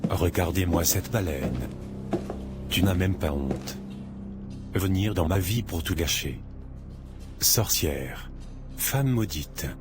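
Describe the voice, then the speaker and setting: A man playing a cruel, commanding husband in an animated moral drama. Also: deep voice, slow, pauses often, over music. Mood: cold contempt. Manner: harsh, commanding, insulting. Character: cruel, contemptuous husband throwing out his wife.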